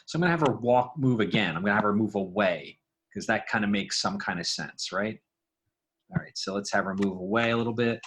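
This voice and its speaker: A man's voice: fairly low pitch, brisk, fairly bright tone, slightly rough. A man giving a casual, explanatory tutorial, thinking aloud as a sound design instructor. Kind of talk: casual screen tutorial narration.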